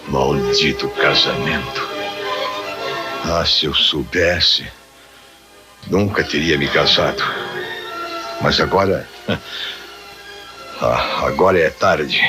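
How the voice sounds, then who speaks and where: Radio drama henpecked husband: extremely low, slow, brooding and bitter, theatrical delivery. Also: male voice, music in the background, full character voice. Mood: bitter, resigned. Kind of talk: old radio drama acting.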